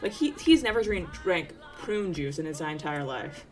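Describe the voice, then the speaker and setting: A woman's voice: deep voice, lively intonation, normal speed. A young woman from Taiwan chatting casually on a livestream while she works, rambling through tangents and laughing at her own jokes. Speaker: VTuber streamer. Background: faint background music.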